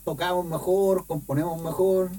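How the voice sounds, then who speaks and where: Mellow chatty interviewer, off-mic. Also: male voice, high-pitched, steady tone, normal speed, far from the mic, low-quality recording. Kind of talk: casual interview questions.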